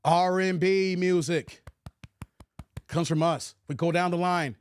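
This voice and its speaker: A man's voice: high voice, steady tone, takes it slowly, clean tone, no room sound. An American man giving opinionated livestream commentary, emphatic, repeating his points and posing rhetorical questions. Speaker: commentator hosting his own channel.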